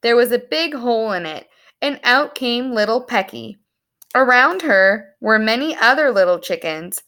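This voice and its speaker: Woman, children's story reader, high-pitched, very varied melody, animated read-aloud delivery. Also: normal speed. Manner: animated, explains words to young listeners.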